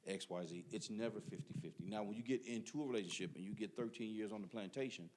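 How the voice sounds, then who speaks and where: A middle-aged man with a fairly low voice makes his point emphatically. He repeats words when others cut in and holds the floor in casual podcast talk. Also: quick pace, darkish tone. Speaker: podcast guest. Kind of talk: casual debate.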